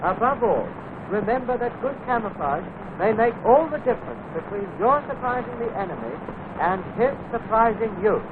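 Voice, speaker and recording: A man's voice: high-pitched, very animated, moderate pace. A British man narrating a 1940s military training film in a clipped, instructive manner, addressing soldiers directly. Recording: tinny, noisy vintage wartime film soundtrack.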